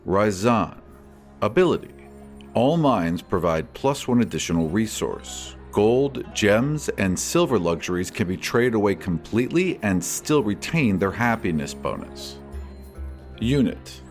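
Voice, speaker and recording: A man's voice: on the low side, big pitch swings, unhurried, faint music behind. A man reading out fan questions and scripted answers as a podcast host and game master, in an articulate, expository way.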